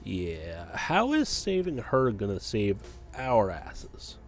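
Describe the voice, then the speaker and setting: A man's voice: lowish voice, huge pitch swings, slow pace, soft background music, exaggerated voice. A man playing a pragmatic, cynical cop on the run, speaking tersely in a radio play. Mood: wary, pragmatic.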